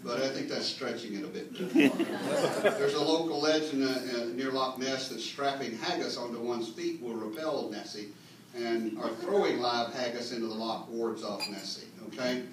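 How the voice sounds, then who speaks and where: A man's voice: medium pitch, normal speed, noisy background. Very echoey room, distant mic, man, comic mock lecture, folksy asides, mock-earnest delivery. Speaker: speaker reading a humorous mock history at a clan meeting. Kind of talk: tongue-in-cheek comic lecture read to an audience. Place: indoor meeting room with live audience.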